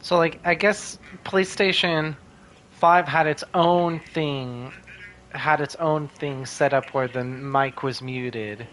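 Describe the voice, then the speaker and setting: A woman with a low-pitched voice talks casually as she plays a video game. Her commentary is unscripted and conversational, recorded with some faint background noise. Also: slow, fairly bright tone. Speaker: streamer.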